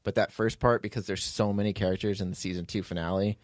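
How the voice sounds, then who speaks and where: A man's voice: fairly low pitch, fairly even intonation, fast, dry recording. Talkative man chatting casually, dry close sound. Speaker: podcast writer and producer, host. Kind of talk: casual behind-the-scenes chat.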